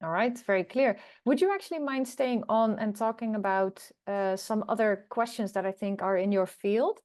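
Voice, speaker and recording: A woman's voice: medium pitch, normal speed, darkish tone. A woman hosting an online college information day over a video call, relaying questions and passing them to staff and students. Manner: friendly, relays questions and hands them to others. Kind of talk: moderating an online Q&A.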